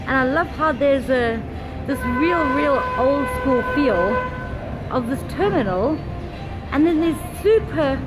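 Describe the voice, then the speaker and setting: A woman's voice: high voice, rough recording. A woman co-hosting a travel walking tour, cheerful and playful, laughing often. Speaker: travel vlogger co-host. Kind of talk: casual walking tour chat. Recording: loud wind and street noise.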